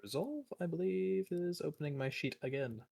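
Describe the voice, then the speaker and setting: A man's voice: a little high, expressive, normal speed, gravelly, warm tone, slightly rough, no echo. A man chatting casually as a player in a tabletop game, with a tentative, questioning manner.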